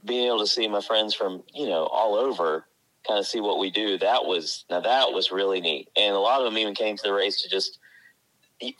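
South Carolina man, 40s, warm-hearted guest who chuckles and stumbles over restarts. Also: lowish voice, poor audio. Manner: friendly, laughs often, stammers on restarts. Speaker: guest, college science teacher. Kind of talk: casual interview chat.

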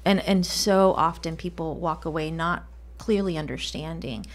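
A woman's voice: low-pitched, normal speed. A woman answering as an interview guest, reflective and thoughtful, feeling out her phrasing as she goes. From Colombia. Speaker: interview guest, healthcare department leader.

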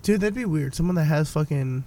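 A man's voice: a little high, expressive, brisk, mellow. A man in casual chat, goofing around with swearing and frequent laughter. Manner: profane, goofy, laughs often.

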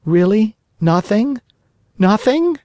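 High, whiny wooden puppet boy. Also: big pitch swings, slow, darkish tone, no echo, studio quality, heavily acted. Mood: pleading. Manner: breathless, childish, complaining.

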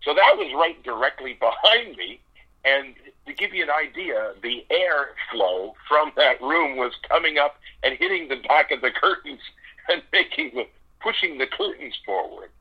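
Male guest storyteller, animated, laughs mid-sentence, slightly husky, dull phone-line sound. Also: fairly high pitch, normal speed. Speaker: impressionist guest. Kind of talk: casual radio interview.

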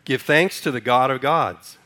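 A man's voice: mid-range voice, unhurried, sharp tone. Man preaching a conversational sermon. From Sudan. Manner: conversational, asks the congregation questions; leads a responsive reading line by line. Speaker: pastor.

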